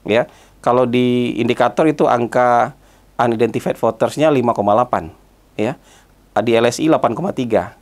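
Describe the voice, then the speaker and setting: A man with a stuffy, hoarse voice from a cold, talking through his commentary with lots of "ya" and "eh" fillers. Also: mid-range voice, darkish tone. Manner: conversational, frequent "ya" and "eh" fillers. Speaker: political commentator hosting his own channel. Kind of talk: solo spoken commentary.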